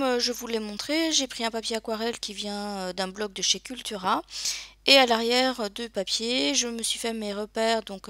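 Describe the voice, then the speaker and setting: A woman's voice: mid-range voice, a bit bright, dry recording. A woman narrating a crafting tutorial over her video, speaking hesitantly with many filler sounds. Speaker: crafting channel host.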